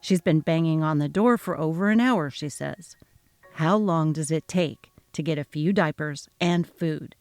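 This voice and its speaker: A woman's voice: low voice, unhurried, darkish tone, no room sound, soft background music, voice pushed down. A woman voicing a worried, conflict-avoidant young husband in a dramatic reading of a text-message story. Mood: defensive.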